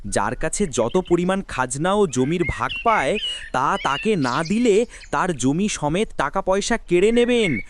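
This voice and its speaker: Man as a slow, loud village crier. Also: high voice, lively intonation, noisy background. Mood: loud, proclaiming. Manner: loud, repetitive proclaiming. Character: village town crier loudly announcing the landlord's orders.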